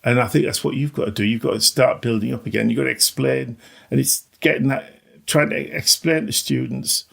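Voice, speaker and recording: A man's voice: mid-range voice, steady tone, brisk, vocal fry, a bit bright, slightly rough. A middle-aged or older man, a teacher, giving casual, reflective interview answers in a hesitant, self-effacing way. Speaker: maths teacher.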